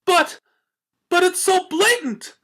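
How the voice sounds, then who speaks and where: A man's voice: very high-pitched, takes it slowly, bright, forceful tone, pitched above the performer's own voice, exaggerated voice. A man voicing a grand, gushing, romantic female unicorn in a story reading, theatrical and haughty. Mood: dramatic, indignant. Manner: theatrical, haughty, effusive, says "darling".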